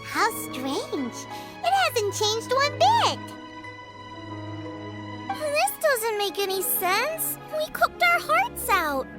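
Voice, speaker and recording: A tiny childlike fairy, squeals along in an extremely high, squeaky voice. The delivery is chirpy and very animated, in anime game style. Music plays prominently underneath. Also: female voice, very slow, heavily acted. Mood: puzzled, excited. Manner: chirpy, exclamatory. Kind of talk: anime video game voice acting.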